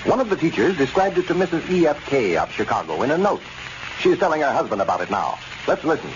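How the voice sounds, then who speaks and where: A man's voice: on the high side, very animated, quick pace. A man with an American accent announcing in the polished style of 1930s and 40s radio. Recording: muffled, noisy old radio recording.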